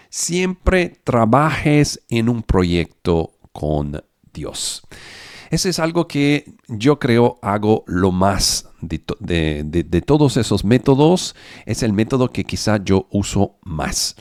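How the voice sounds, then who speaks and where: Halting preacher, non-native Spanish speaker. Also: male voice, mid-range voice, big pitch swings, a little mellow, dry recording, studio quality. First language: not Spanish. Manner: halting, with fillers and self-corrections. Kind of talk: online sermon.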